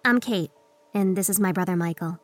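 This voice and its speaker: A male narrator voicing a curious, excitable young girl. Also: sits high, a little fast, faint music behind, voice pushed up, lightly acted. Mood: eager. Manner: eager and excited.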